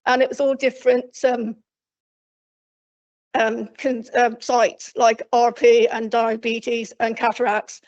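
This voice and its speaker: A woman from Cornwall speaking loudly and plainly from memory to a council committee, rambling with frequent restarts. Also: on the high side, flat delivery, takes it slowly. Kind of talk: unscripted address from memory. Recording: dull lo-fi council meeting recording.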